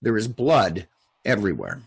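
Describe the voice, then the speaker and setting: A man's voice: lowish voice, huge pitch swings, moderate pace, vocal fry, slightly rough. A man reading screenplay stage directions as narrator, in clipped scripted phrases. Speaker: stage-direction narrator and table read leader.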